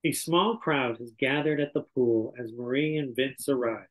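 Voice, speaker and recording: A man in his sixties narrates a script's stage directions at a table read. His voice sits at an average pitch, recorded over a video call in a small room at home. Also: moderate pace, poor audio. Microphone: computer video call.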